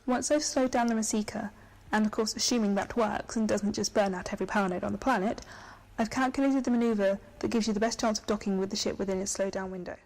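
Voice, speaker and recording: Woman acting young female space genius, brisk, logical, precise, slightly pert, sci-fi audio drama, medium pitch. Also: fairly even intonation, darkish tone, no echo, close to an everyday voice, poor audio. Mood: businesslike.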